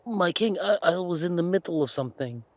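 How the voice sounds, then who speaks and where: Man playing a high-voiced, stammering, cowardly duke. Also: animated, fairly quick, dry recording. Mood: panicked pleading. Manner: stammering, pleading. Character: cowardly, grovelling, terrified duke. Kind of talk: audio roleplay acting.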